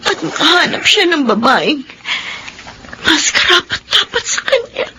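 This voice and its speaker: A woman playing a gentle, emotional young sweetheart and daughter in a Filipino radio melodrama, with a Filipino accent. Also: high-pitched, big pitch swings, a little slow, full character voice. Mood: sad, resigned. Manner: tender, emotional. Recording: noisy radio drama broadcast.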